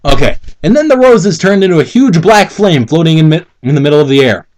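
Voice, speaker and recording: A man with a slightly high voice does a casual, mocking dramatic reading. He stumbles over the text, breaks into commentary and swears in a giggly, exasperated way. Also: animated, a little fast, a little mellow. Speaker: co-host reading and mocking fan fiction.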